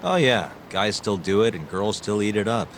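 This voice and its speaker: An earnest young private detective in a video game cutscene. His fairly low voice is dry and casual, with an exasperated edge. Clear noise sits behind him. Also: expressive, moderate pace. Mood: casual, determined.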